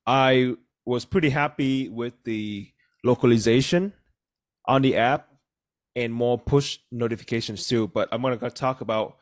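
A man in his thirties, Vietnamese as his first language, hosting a casual podcast over a video call and explaining points earnestly with many "you know"s. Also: medium pitch. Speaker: podcast host.

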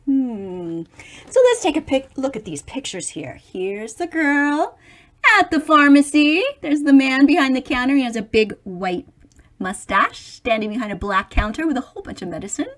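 High, animated woman reading a picture book. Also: normal speed. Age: middle-aged. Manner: expressive, animated, explaining to young listeners. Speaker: storybook reader for children. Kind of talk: children's picture book read-aloud with chatty asides.

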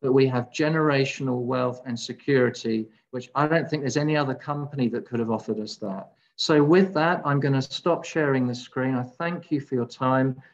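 A man's voice: medium pitch, normal speed, few pauses. A man in his fifties giving a business presentation over a video call. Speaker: presenter of a marketing overview. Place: home kitchen, indoors. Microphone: video call, computer microphone. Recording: dull, muffled video call audio.

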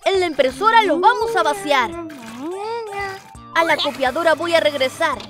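A woman's voice: extremely high pitch, normal speed. A woman voicing a cheerful young cartoon lion cub, narrating in bouncy sing-song rhymes. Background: children's music.

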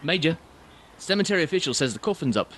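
A man's voice: fairly high pitch, big pitch swings, fast talker, slight background noise. A man playing a deferential, wry army sergeant in a radio drama. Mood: brisk. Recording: loud watery sound-effect ambience.

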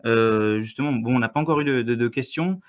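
A young man moderating an online panel over a video call, speaking in a hesitant, halting way. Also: mid-range voice. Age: young adult. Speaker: webinar moderator. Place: indoor room, on a video call.

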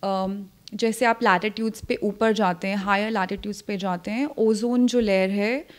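A woman's voice: mid-range voice, fairly even intonation, normal speed. A young woman with an Indian accent answering interview questions as an airline pilot, switching between two languages. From Jammu, India. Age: young adult. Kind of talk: interview answers mixing Hindi and English. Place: indoor living room, seated interview. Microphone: handheld reporter mic held toward the speaker.

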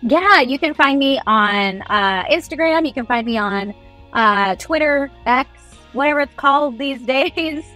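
A woman's voice: high-pitched, expressive, a little slow, bright, forceful tone. Chatty young woman over music. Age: young adult. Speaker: guest voice actor. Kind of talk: casual video interview, giving advice. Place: small indoor room, on a video call.

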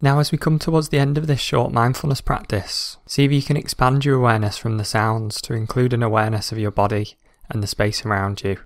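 A man's voice: medium pitch, dry recording. Man guiding meditation, very dry sound. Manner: calm, measured, instructional. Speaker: meditation guide. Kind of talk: scripted guided meditation.

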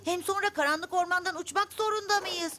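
A woman's voice: very high-pitched, steady tone, a little fast, heavy background noise. A woman voicing a timid, reluctant young boy bee in a children's cartoon dub, with a hesitant, whiny delivery.

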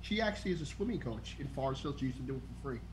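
A man, chatting on a video-call panel and telling stories in a quick, chatty way. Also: medium pitch, expressive. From Queens, New York. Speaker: panel member. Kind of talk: casual chat. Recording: faint background noise.

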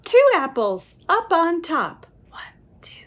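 A middle-aged woman reading a picture book aloud to young children in an expressive, sing-song way. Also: very high-pitched. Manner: expressive, sing-song, slow, with whispered asides. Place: small room at home.

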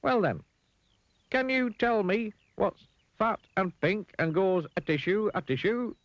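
A man's voice: high voice, measured pace, dry recording, highs cut off, voice pushed up. A man voicing a simple, good-natured silly man in a children's story, with a teasing streak.

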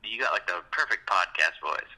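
A man with a very bright voice and lively, swooping pitch, chatting casually on a podcast. He jokes and teases, laughing often. His voice sits on the low side. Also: moderate pace, poor audio. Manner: joking, laughs often, teasing. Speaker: podcast host. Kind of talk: casual chat.

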